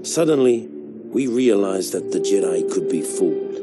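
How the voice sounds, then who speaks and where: An actor playing a world-weary veteran clone soldier, narrating his war memories in a measured, solemn way for a video game. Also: deep voice, lively intonation, over loud music. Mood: grim, matter-of-fact. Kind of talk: scripted narration, military memoir.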